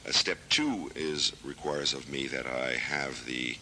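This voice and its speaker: An American man in his thirties, a literary translator, answering interview questions in a thoughtful, measured way with many hesitations. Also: very deep voice, pushed and bright, a little hiss or hum. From United States. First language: English. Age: 30s.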